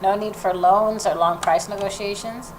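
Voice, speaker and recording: A woman hosting a gaming video, reading the story narration aloud and adding expressive reactions of her own. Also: medium pitch, normal speed. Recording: noticeable background noise.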